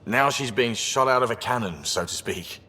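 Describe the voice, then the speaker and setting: An actor playing a wry, chatty spaceship engineer in a video game. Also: male voice, medium pitch, animated, moderate pace, bright, forceful tone, slightly rough, long reverb tail, heavily acted. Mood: breezy, wry. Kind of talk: video game character acting.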